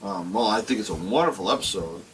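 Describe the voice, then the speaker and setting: Man in his forties, lively rising and falling voice, rambling casual chat, faint hum behind. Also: on the low side, fast. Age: 40s. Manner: animated, rambling with restarts. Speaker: podcast co-host. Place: basement.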